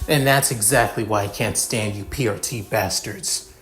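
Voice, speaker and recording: A man's voice: fairly low pitch, moderate pace, a bit bright, close to an everyday voice. A man voicing a grieving, hostile mother who is curt and accusing, in a told story.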